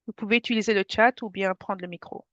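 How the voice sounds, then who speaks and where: A woman from France presents an online workshop to parents in a friendly, conversational manner. Her voice sits at a middle pitch. The video-call audio is dull and dry, with no room sound. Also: fairly even intonation, fast talker, dry recording. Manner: friendly, conversational, addresses the audience directly. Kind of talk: informal webinar presentation to parents.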